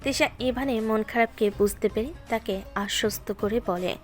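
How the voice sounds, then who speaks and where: A woman's voice: on the high side, moderate pace. Woman narrating a story over music. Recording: music bed under the voice.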